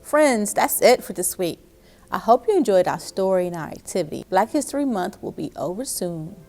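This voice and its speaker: A woman's voice: mid-range voice, lively intonation, normal speed, a little mellow, faint music behind. A woman hosting a story time for young children, speaking to them in an encouraging way with frequent questions. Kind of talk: storybook read-aloud and craft instruction for young children.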